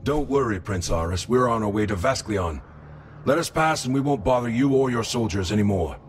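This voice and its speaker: An actor playing a stern, resolute medieval lord in a fantasy game, speaking gravely and commandingly. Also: male voice, lowish voice, expressive, fairly quick, faint music behind, close to an everyday voice. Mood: defiant.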